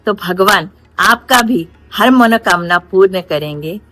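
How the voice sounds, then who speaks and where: A woman narrating devotional stories in a dramatic storytelling style, with deliberate phrasing and pauses. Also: medium pitch, lively intonation, a little hiss or hum. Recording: faint background music. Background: soft music.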